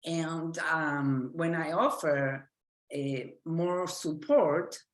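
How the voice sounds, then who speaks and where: A woman with an Argentine Spanish accent gives a lecture in a fairly low voice. She speaks earnestly and keeps restarting her sentences while she hunts for the right word. The room is echoey. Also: very slow. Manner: earnest, searching for words, frequent restarts. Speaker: physician lecturer. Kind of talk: lecture presentation.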